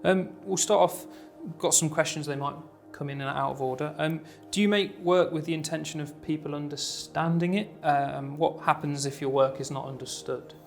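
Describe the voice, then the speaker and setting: Male interviewer host, easygoing questioner, meandering phrasing, slightly high voice. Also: steady tone, moderate pace, gravelly, hardly any pauses, soft background music. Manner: friendly, loosely phrased questions with many fillers.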